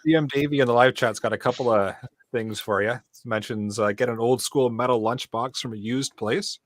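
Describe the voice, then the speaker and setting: A man's voice: mid-range voice, moderate pace. A man hosting a live stream, chatting casually and explaining things as he goes. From Canada. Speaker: podcast host.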